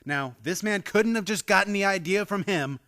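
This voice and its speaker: An actor playing a frantic, exasperated nephew in a radio play, agitated and flustered. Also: male voice, high-pitched, moderate pace, pushed and bright. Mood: exasperated alarm.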